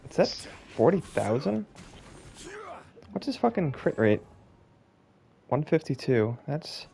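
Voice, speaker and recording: A man with a gravelly, fry-laden voice and lively swings in pitch comments casually as he streams a game. He is blunt and sweary, quick to sound exasperated. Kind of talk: casual gaming commentary with reading of on-screen text.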